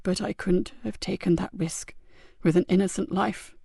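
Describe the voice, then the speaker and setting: A woman voicing a badly injured male police sergeant, speaking weakly and haltingly. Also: medium pitch, little pitch movement, dry recording, clean studio sound, lightly acted. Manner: weak, halting, quiet. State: injured, struggling for breath. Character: wounded male police sergeant, loyal and fading.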